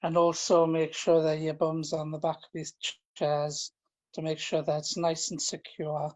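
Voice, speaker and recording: A man leading a guided relaxation, speaking in a gentle, informal and halting way, often repeating his phrases. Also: fairly high pitch, completely flat delivery, slight background noise. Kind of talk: guided relaxation, meditation instruction.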